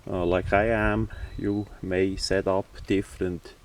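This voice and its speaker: Slow, low-voiced man, halting delivery. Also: flat delivery. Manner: halting, hesitant, matter-of-fact delivery. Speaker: hobbyist presenter. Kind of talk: casual hands-on product demonstration. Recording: distant microphone.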